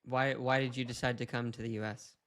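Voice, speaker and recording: A man's voice: mid-range voice, flat delivery, brisk, fairly bright tone. A man hosting an interview podcast, chatty and upbeat, with frequent fillers. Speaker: podcast host.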